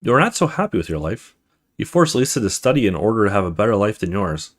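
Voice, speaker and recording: A man's voice: fairly low pitch, very animated, quick pace. A man hosting a let's play, reading a horror visual novel aloud in a dramatic storytelling way with casual asides.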